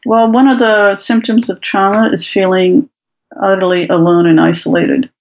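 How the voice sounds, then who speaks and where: A woman from Morocco who teaches writing workshops, speaking as a guest in an interview in a thoughtful, measured way. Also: medium pitch, little pitch movement, a little mellow, muffled recording. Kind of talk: interview, reading her own prose aloud.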